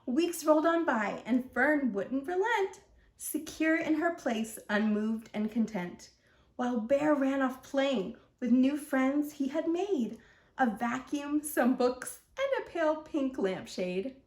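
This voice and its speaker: A woman reads rhyming picture books aloud for children. Her voice sits fairly high and she takes her time over each line. The recording has a strong roomy echo. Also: animated, slow. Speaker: children's story host and reader. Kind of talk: read-aloud storytelling in rhyme.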